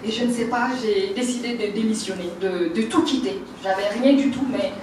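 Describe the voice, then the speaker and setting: A woman's voice: medium pitch, a little fast, background noise. Burkinabe woman telling her story in an echoey room. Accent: Burkinabe French. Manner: animated, laughing, many hesitations. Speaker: makeup artist telling her life story. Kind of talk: personal life story talk. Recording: roomy, distant mic, faint ambience.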